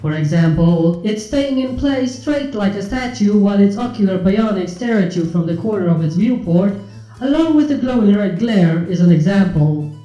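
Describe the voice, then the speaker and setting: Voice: medium pitch, expressive, moderate pace, warm tone, reverberant, muffled recording, faint music behind, natural voice. A performer voicing a cold, condescending ship artificial intelligence in a fan fiction reading, speaking in formal, clinical, precise terms. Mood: explaining.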